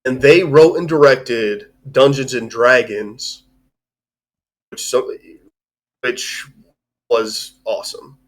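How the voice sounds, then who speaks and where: A man's voice: medium pitch, slow, pauses often, poor audio. A man hosting a livestream, discussing films in a casual, rambling, informal way. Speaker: host of a livestream discussion show.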